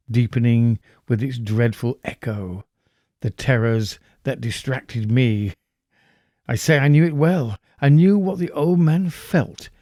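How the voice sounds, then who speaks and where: A man acts out a nervous madman telling his horror story. He is obsessive and insistent, speaking very deliberately with drawn-out pauses. The voice is soft-edged and dark, recorded close and dry. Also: medium pitch, no echo, lightly acted. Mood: agitated insistence. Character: nervous, obsessive mad murderer confessing with intense insistence. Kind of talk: dramatic horror audiobook narration.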